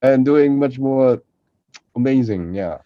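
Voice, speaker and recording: A man's voice: mid-range voice, lively intonation, slow pace, dry recording, muffled recording. A man who is a non-native English speaker, chatting casually as a co-host in a jovial, encouraging way. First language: not English. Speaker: podcast co-host and voice performer. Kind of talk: casual chat.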